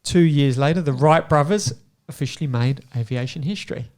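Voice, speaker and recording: A man's voice: fairly high pitch, fairly quick, darkish tone. A man hosting a podcast, running a light trivia quiz with his guests and laughing often. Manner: playful, laughs often while quizzing guests. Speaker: podcast host.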